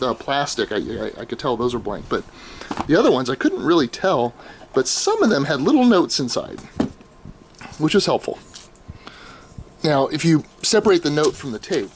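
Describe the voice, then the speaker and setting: A middle-aged American man gives a casual, unscripted show-and-tell, thinking aloud in a halting, explanatory way. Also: medium pitch. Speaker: amateur audio archivist showing his tapes. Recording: clearly noisy home video, room ambience.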